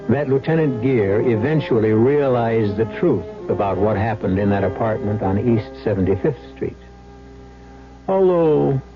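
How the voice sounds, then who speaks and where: A man narrating as a radio drama host, dramatic and deliberate in a theatrical scripted style. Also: on the low side. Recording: dull old radio recording. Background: music.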